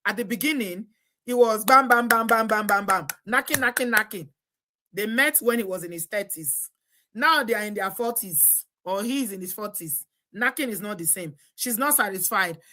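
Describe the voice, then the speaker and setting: A woman's voice: mid-range voice, normal speed, pushed and bright. A Nigerian woman hosting a live stream, chatty and exclamatory, often breaking into laughter. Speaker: host. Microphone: live stream setup.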